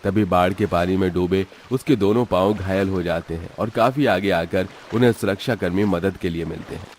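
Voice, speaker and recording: A man's voice: sits low, moderate pace, a little hiss or hum. A man narrating a scripted, dramatic story.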